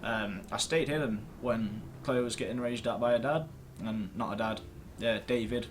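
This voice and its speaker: A man with an average-pitched voice talks through a video game in a casual, rambling way. He is self-deprecating and swears mildly. The home recording carries clear noise behind his voice. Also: moderate pace. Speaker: host of a gaming video series. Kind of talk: casual gameplay commentary to camera. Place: indoors at a computer screen.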